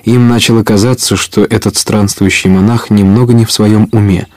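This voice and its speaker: A man's voice: lowish voice, steady tone, moderate pace, a little mellow, dry recording. A man narrating a story for a Russian audio play in a scripted storytelling style.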